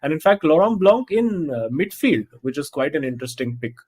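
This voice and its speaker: A man doing live esports co-commentary on a football video game, talking haltingly with many fillers and restarts. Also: a little high, big pitch swings, a little mellow, breathy edge.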